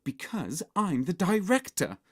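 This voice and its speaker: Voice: mid-range voice, big pitch swings, unhurried, slightly rough, voice pushed up, exaggerated voice. A narrator voicing a moody, imperious young girl who snaps and screams orders in a children's storybook. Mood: snappish. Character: bossy, moody, shouting young girl director.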